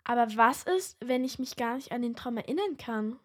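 A woman's voice: on the high side, expressive, moderate pace, slightly rough. A woman co-hosting a scripted children's radio show, asking cheeky, teasing questions. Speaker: co-host.

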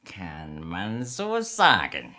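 A man playing a nightmare demon being interviewed in a children's radio play, theatrical and drawn-out in delivery. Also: low voice, wildly swooping pitch, sharp tone, exaggerated voice. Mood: self-assured. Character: male nightmare demon as talk-show guest, slow and eerie. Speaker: interview guest.

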